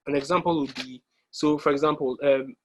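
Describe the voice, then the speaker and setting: A young man from Ghana presenting an online exam-prep workshop over slides, pausing often with ums and restarting his sentences. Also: medium pitch, fairly even intonation. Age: young adult. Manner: hesitant, many ums and restarts.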